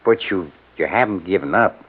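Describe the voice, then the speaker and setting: A man's voice: deep voice, animated, normal speed, slightly rough. An actor playing a polite, precise male private secretary in a 1950s radio drama, deferential and formal. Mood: courteous, probing. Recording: tinny, faintly noisy old radio recording.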